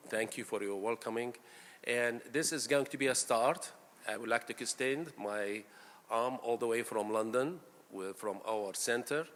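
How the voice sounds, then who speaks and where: A middle-aged man from Iraq giving a conference lecture as a pain physician, speaking in a halting way with many fillers, gracious toward his hosts. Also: medium pitch, a bit bright. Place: large lecture hall. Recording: reverberant hall, faint room noise.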